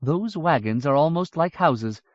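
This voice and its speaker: A man's voice: a little high, expressive, measured pace, no room sound, voice pushed up. A man voicing a stern, worried older noblewoman who speaks in a cross, fretful way. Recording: dull lo-fi audiobook recording.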